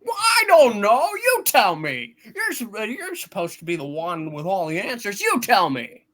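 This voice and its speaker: A woman's voice: medium pitch, big pitch swings, fairly bright tone. A woman playing a flustered, stubborn young man who stammers, in a hammed-up amateur radio play reading. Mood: grumpy, awkward. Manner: stammering, halting, blustery.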